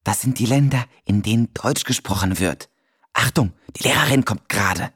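An impatient pupil whispering answers in class, with a breathy, hushed voice in a middle register. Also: medium pitch, moderate pace. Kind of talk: scripted dialogue.

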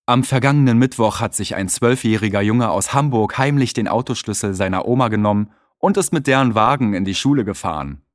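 A man reading short news texts and hosting a scripted radio call-in in a measured, clear way. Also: fairly low pitch, dry recording, studio quality. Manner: measured, clear read-aloud delivery. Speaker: reader of exam listening texts.

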